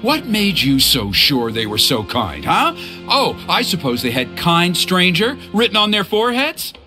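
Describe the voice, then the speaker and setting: A man's voice: sits high, huge pitch swings, a little slow, over music, exaggerated voice. A man voicing a stern, protective middle-aged father and tribe elder in video game dialogue. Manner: gruff, then flustered and apologetic.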